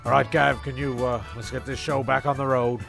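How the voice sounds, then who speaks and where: A man giving rambling, sardonic comic narration, halting and profane as he goes. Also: mid-range voice, flat delivery, vocal fry. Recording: loud music under the voice.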